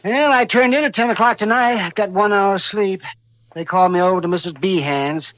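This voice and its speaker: A man's voice: high voice, brisk, fairly bright tone. An American man in a vintage radio western, playing a crusty, weary old town doctor who talks in a folksy, querulous mutter. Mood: grumbling. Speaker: radio actor.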